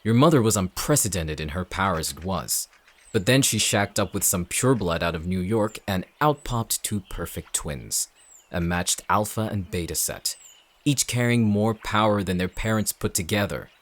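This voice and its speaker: An actor playing a cold, calculating villain in an audio drama, speaking in a measured, smug, menacing way. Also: male voice, deep voice, animated. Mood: condescending. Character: cold, calculating male villain leading an anti-shifter operation. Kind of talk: dramatic audio roleplay acting.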